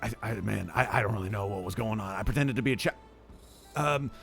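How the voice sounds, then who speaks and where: A man's voice: on the low side, fast talker, vocal fry, fairly bright tone, faint music behind. A man playing a flustered, bumbling male thief who stammers and rambles in a panic. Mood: panicked.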